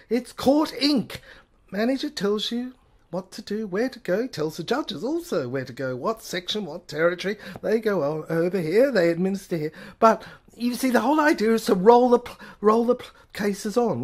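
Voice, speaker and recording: A woman's voice: medium pitch, close to an everyday voice. A woman playing a hectoring official in an audio play, ranting insistently in exclamations and repeated phrases. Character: ranting, hectoring official explaining a hellish managerial system. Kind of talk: dramatic monologue in an audio play.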